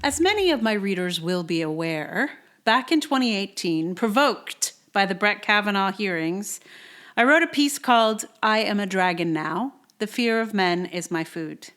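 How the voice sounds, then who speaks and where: A woman in her forties speaks as a podcast host sharing a personal essay. Her pitch sits in the middle, and her delivery is conversational and wry. She is recorded on a portable mic in a house with a slight echo. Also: animated, moderate pace, fairly bright tone, faint music behind. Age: 40s. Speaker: podcast host reading own blog post. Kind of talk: casual intro, then read-aloud personal essay. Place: indoors in a sparsely cushioned house. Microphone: portable travel microphone.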